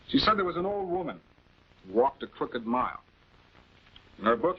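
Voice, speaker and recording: Calm prosecutor grilling suspects, bit husky, old 40s tv crime show, muffled sound. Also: male voice, mid-range voice. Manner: authoritative, accusatory, deliberate. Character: composed, methodical male prosecutor confronting suspects. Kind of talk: 1940s television crime drama acting. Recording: dull 1940s film soundtrack.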